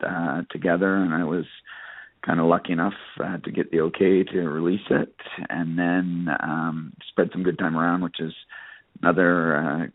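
A narrow, dry phone-like connection carries a very low-voiced man. He is a musician answering interview questions casually, pausing and hesitating often as he goes. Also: level tone, no echo. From Canada. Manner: halting, frequent hesitations and you-knows. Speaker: country singer being interviewed.

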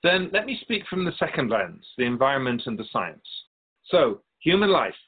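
A man plays a wise otherworldly spirit of a radio drama, patient and gently pedantic. The voice is husky and swoops widely as it explains things in measured phrases. The sound is narrow and phone-like. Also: mid-range voice, very animated. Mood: explanatory, measured. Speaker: spirit being interviewed. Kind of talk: scripted radio drama dialogue.

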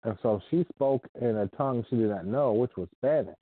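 A man's voice: mid-range voice, no room sound. A man from Ohio giving an informal, earnest sermon with scripture reading. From Ohio, United States. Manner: earnest, unhurried, repeats himself. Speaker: preacher. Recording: dry tinny phone-like sound.

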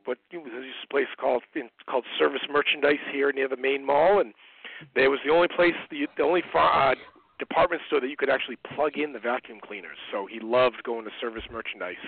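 Middle-aged American male guest, conversational storyteller, some fry in the voice, dry but thin phone-band audio. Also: medium pitch, steady tone, a little fast, dry recording. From United States. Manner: conversational, anecdotal. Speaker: guest, parent and speaker. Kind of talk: casual interview storytelling. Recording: narrow muffled phone-line radio sound.

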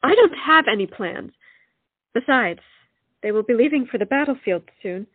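A woman's voice: a little high, lively intonation, normal speed, phone-quality sound. An actress playing a genteel, proper and coy young colonial lady in a stage comedy. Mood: coy, protesting.

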